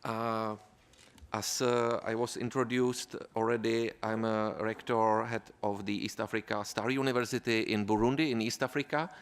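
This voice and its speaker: Man, czech accent, formal talk, gravelly, lowish voice, halting with fillers. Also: level tone. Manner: hesitant, with frequent fillers. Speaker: university rector giving a talk. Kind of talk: formal speech.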